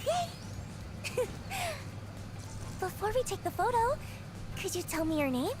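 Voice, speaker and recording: A woman's voice: extremely high pitch, very animated, unhurried, noisy background, heavily acted. A woman playing a cutesy, bubbly young pop idol in an anime video game, with a sweet, theatrical and teasing manner. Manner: sweet, theatrical, refers to herself by name.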